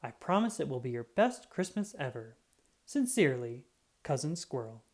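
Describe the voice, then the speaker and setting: A woman's voice: a bass voice, big pitch swings, normal speed, a little mellow, exaggerated voice. A woman voicing a friendly, hospitable squirrel cousin in a children's storybook, in a cheerful, inviting manner.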